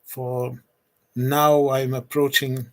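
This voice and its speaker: Portuguese-accented man in his forties; slow, hesitant livestreamer who restarts phrases. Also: medium pitch, flat delivery, fairly bright tone. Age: 40s. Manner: hesitant, pauses often with restarts and fillers. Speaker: streamer. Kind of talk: unscripted solo livestream chat.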